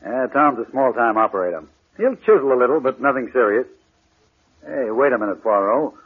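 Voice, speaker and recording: An actor playing a confident New York homicide inspector with an American accent, brusque, slangy and dismissive, in radio crime drama style. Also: male voice, mid-range voice, expressive, fairly quick, slightly rough. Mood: brisk. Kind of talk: old-time radio crime drama acting. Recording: tinny, band-limited old radio recording.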